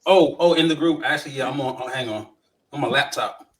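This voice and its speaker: A man's voice: medium pitch, a little fast, a bit bright. A man with an American accent chatting informally and agreeably as a podcast co-host. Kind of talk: casual podcast chat.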